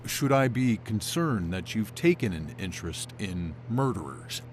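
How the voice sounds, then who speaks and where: A man playing a precise, formal psychiatrist giving measured expert answers in an interview. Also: fairly low pitch, expressive, a little hiss or hum. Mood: wry, measured.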